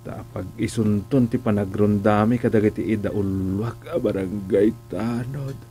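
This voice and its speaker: A man with an Ilocano accent acting a curious, excitable villager in a comedic radio drama, with exclamations and drawn-out interjections. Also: lowish voice, soft background music, natural voice. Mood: musing.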